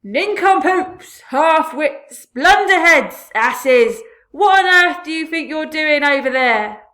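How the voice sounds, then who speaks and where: A woman voicing a boastful, rude male centipede in a storybook reading, shouting brash insults. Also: high-pitched, animated, slow pace, pitched above the performer's own voice, full character voice. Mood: scornful shouting.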